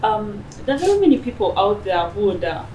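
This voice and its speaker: A woman's voice: mid-range voice, very animated, brisk, mellow, lo-fi sound. A woman presenting and interviewing as a television talk show host in a shop. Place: cosmetics shop, indoors. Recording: roomy, distant mic, noisy background ambience.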